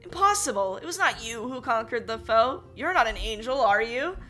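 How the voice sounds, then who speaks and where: A woman's voice: big pitch swings, a little slow, soft background music. Storybook king, bewildered and bellowing, put on by a female reader. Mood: astonished. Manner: exclaiming, loud and high. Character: astonished blustering old king.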